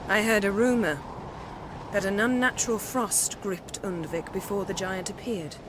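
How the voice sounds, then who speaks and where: Imperious sorceress played by a female actor, coolly self-assured, formal and commanding, fantasy game scene with loud ambience. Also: mid-range voice, fairly even intonation, normal speed, noisy background, lightly acted. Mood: cool, determined. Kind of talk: dramatic video game dialogue.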